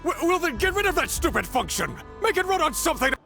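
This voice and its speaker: An actor playing a blustering, greedy boss in a video game, agitated and demanding. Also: male voice, very high voice, sing-song, fast, creaky, sharp tone, soft background music, exaggerated voice. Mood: angry, bitter. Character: blustering, greedy boss clinging to a stolen prototype. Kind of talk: animated video game acting.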